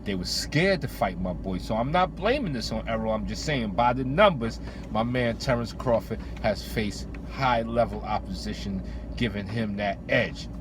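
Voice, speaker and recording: A man's voice: fairly low pitch, expressive, normal speed. A man talking boxing as a host, casual, opinionated and slangy, repeating phrases for emphasis. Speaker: host giving boxing commentary. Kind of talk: casual opinionated sports talk. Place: in or beside a vehicle.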